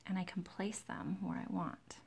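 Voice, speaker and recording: A woman hosting a live nail tutorial in a chatty, relaxed way, with a soft, breathy, soothing voice that often drops near a whisper, close to the microphone. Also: fairly low pitch, moderate pace. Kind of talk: chatty live-stream demonstration, greeting viewers. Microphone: close to the camera's microphone.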